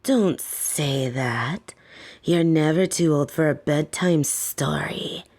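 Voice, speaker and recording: A woman playing a soft-spoken, domineering lamia caretaker in a roleplay audio, teasing and coaxing with a condescending, softly menacing edge. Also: sits low, slow pace, exaggerated voice. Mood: soothing, coaxing. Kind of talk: scripted roleplay audio, intimate second-person address.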